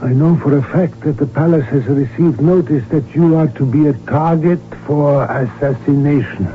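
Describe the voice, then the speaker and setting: A man's voice: a little high, normal speed, slight background noise, lightly acted. An actor playing an outspoken, agitated royal physician who tells his story with urgency and emphasis in a 1970s radio drama. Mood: urgent, pleading. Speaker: doctor character who also narrates. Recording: tinny narrowband old radio recording, music behind.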